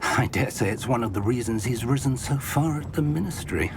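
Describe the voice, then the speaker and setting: An actor playing an older British wizard professor, a scholarly and protective mentor, in a measured, articulate video game performance. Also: male voice, medium pitch, expressive, noisy background, lightly acted. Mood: measured, dry. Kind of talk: video game cutscene acting.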